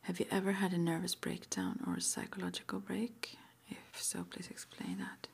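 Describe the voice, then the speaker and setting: A woman whispering gently and hesitantly in an ASMR roleplay, as an interviewer asking intake questions in a breathy voice. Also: lowish voice, very animated, moderate pace. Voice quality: breathy whisper with some creak. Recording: faint background noise.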